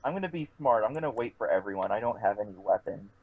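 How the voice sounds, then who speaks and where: A man's voice: on the low side, expressive, fast, slightly rough. A man playing a tabletop game over voice chat, talking casually and swearing freely. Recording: dull lo-fi online voice chat.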